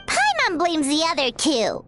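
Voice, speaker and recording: A woman voicing a tiny, excitable, childlike fairy companion in a video game, squeaky and exclamatory. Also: a little slow, music in the background, exaggerated voice. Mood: puzzled. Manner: exclamatory, talks of herself in third person. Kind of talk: English video game voice acting.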